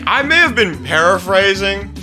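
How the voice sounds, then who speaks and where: A man's voice: high voice, very animated, moderate pace, music in the background. A young adult man doing casual host commentary and reading a summary aloud, sweary and amused. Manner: sweary, amused, often breaking into laughter. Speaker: video host.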